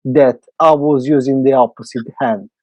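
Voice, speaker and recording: A man's voice: medium pitch, steady tone, moderate pace, warm tone, no room sound, poor audio. A man in his twenties from Italy, speaking English with an Italian accent as a podcast host. He is eager and talkative, and he searches for words. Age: 20s. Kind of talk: casual podcast chat.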